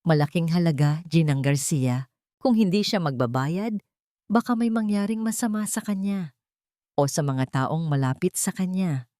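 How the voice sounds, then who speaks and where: In this story drama, a woman plays a menacing man collecting debts with veiled threats. The voice is mellow and recorded close and dry. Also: lowish voice, lively intonation, moderate pace, dry recording, lightly acted. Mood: coldly menacing.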